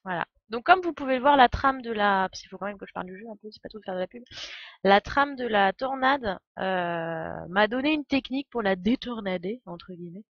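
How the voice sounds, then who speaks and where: A woman's voice: mid-range voice, animated, fairly quick, no room sound, dull audio. A woman doing casual, playful, rambling live commentary on a game. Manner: playful, giggly, exclamatory, rambling. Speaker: game streamer.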